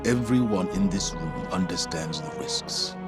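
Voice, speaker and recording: An actor playing a stoic, authoritative older military commander in a video game, measured and resolute. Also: male voice, low voice, lively intonation, loud music behind the voice. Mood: resolute, grave.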